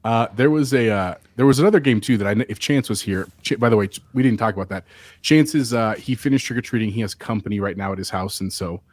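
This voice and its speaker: A man's voice: lowish voice, fast, no echo. A man hosting a casual livestream podcast, rambling easily about his favorite games. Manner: easygoing, rambling gamer talk. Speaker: podcast host.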